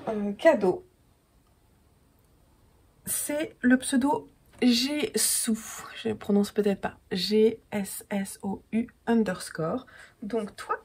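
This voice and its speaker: A woman's voice: mid-range voice, gravelly, mellow, breathy edge. A woman in her thirties with a French accent, chatting casually to camera at home, a little stuffy from a cold and full of fillers and restarts. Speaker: podcaster and yarn dyer.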